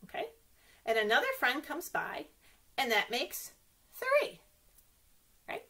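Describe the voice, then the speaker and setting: A woman's voice: high-pitched, huge pitch swings, slow, bright, forceful tone. A woman reading a picture book aloud for young children as a story time host, playfully exclaiming and asking her listeners questions.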